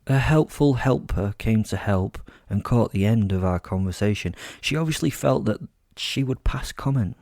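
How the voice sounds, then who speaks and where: A man's voice: on the low side, expressive, moderate pace, a little mellow, no echo, clean studio sound. A man narrating a horror audio drama in the first person, with a wry, brooding manner.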